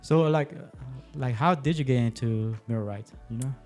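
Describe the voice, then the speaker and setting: A man's voice: medium pitch, normal speed, darkish tone, faint music behind. A man hosting a podcast in an informal, conversational interview style. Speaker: podcast host. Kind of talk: casual interview chat.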